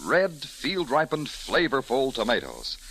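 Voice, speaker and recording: A man's voice: medium pitch, wildly swooping pitch, measured pace, a bit bright, slightly rough, low-quality recording. An American man announcing and reading advertising copy in mid-century radio style. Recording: old radio recording with music.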